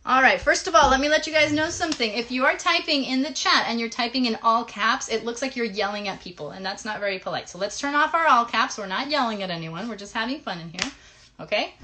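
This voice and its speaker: A woman's voice: on the high side, bright, forceful tone. A woman hosting an interactive play session for children, giving instructive, encouraging directions straight to them. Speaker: children's live show host. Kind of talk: interactive kids' play session, teaching and singing a hand game. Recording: noisy live stream with clicks.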